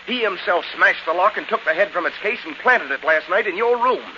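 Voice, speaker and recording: An actor playing an earnest, insistent young secretary in a 1940s radio drama, urgent and emphatic. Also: male voice, high voice, a little fast, natural voice. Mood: urgent accusing. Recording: muffled, hissy 1940s radio recording.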